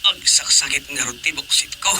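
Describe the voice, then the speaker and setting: Groaning village councilman played by a man, rather high-voiced, stammering and pleading, comedy radio drama, noisy track. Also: moderate pace, lightly acted. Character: whiny male village councilman faking a bellyache.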